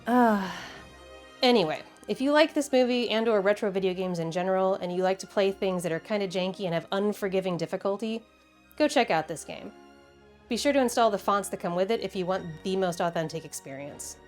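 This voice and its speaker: A woman hosting a video with casual, informal commentary, expressive and wryly opinionated. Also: mid-range voice, brisk. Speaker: video host. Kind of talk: casual video commentary with game play reactions. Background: background music.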